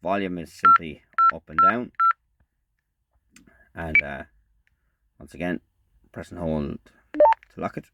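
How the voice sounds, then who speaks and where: A man giving a casual, unscripted product demonstration as a reviewer, in a halting, matter-of-fact way. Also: deep voice, expressive, very noisy.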